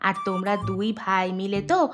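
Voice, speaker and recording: A woman's voice: mid-range voice, completely flat delivery, brisk, natural voice. A woman playing an outwardly hospitable but exasperated village aunt in a fairy-tale cartoon, with music behind.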